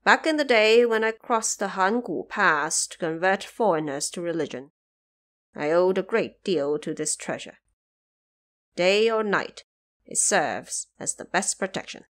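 A woman voicing a confident, boastful old male immortal elder in an audio drama, speaking slowly and deliberately. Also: fairly low pitch, expressive, fairly bright tone. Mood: proud.

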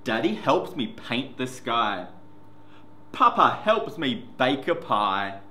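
A man hosts a children's story time with a bright, clear-cut delivery and very lively, sing-song pitch. He takes his time over the simple words for small listeners. The room adds an echo, with faint noise behind him. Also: slow pace. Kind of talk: picture book read-aloud for young children. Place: indoor classroom reading corner.

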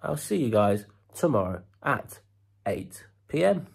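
A man's voice: mid-range voice, big pitch swings, a little slow, creaky, breathy edge, dry recording, studio quality. A British man in his thirties with a congested head cold, chatting casually to a handheld camera at home about reselling. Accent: British English. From United Kingdom. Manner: chatty, upbeat patter with slang. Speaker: eBay reseller vlogger. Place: home storage room and parked car, indoors. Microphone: handheld camera at arm's length.

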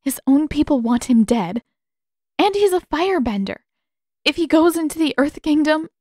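A woman's voice: high voice, expressive, normal speed, darkish tone, no echo, clean studio sound, voice pushed up. A woman voicing an earnest, anxious teenage girl who pleads with urgent emotion in a fantasy story. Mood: pleading.

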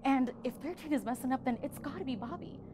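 An actress playing a young female theater coworker who tells a ghost story in a hushed, conspiratorial way, acting in a film drama. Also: sits high, fast talker, noisy background, natural voice.

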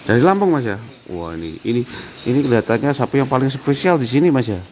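A man's voice: medium pitch, big pitch swings, fast talker, darkish tone. A man vlogging a walk through an outdoor cattle barn, chatting with a worker and repeating answers back, with a faint hum around him. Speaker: vlogger touring a cattle farm. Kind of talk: casual walk-through vlog with interview.

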